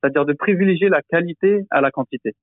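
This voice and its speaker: A man's voice: on the high side, quick pace, no echo, narrow, tinny audio. Male religious teacher, hesitant explainer, dry close sound. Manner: explanatory, hesitant with frequent fillers and restarts. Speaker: Quran teacher and imam. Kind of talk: podcast discussion.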